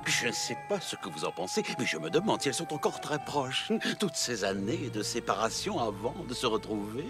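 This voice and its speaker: Guy doing a cartoon dragon trickster, high voice swooping all over, fake-polite and whiny, music behind. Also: big pitch swings, a little fast, lightly acted. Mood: sly, mock innocent. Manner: melodramatic, mock-polite, wheedling. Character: theatrical, scheming, self-pitying male dragon-like trickster creature. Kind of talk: French cartoon dub acting. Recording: loud background music.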